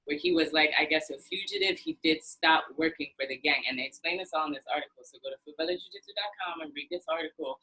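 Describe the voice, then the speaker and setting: Husky low-voiced woman telling stories. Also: quick pace, hardly any pauses, bright, forceful tone, rough recording. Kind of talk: casual chat, storytelling.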